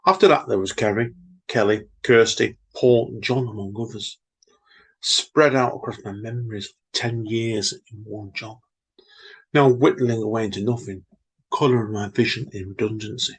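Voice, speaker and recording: A man co-hosting a story podcast, chatting casually and reading his own poem in a slow, reflective way. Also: on the low side, steady tone, husky, poor audio. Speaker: podcast co-host, poet. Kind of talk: casual chat and poetry reading.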